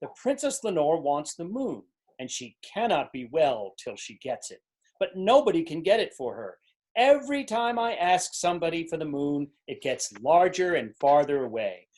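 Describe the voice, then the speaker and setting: A man's voice: on the high side, big pitch swings, moderate pace, a little mellow. A man playing a demanding, fretful king in a storybook readers theater, complaining and insistent. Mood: mournful. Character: petulant, demanding king, fretful and easily enraged.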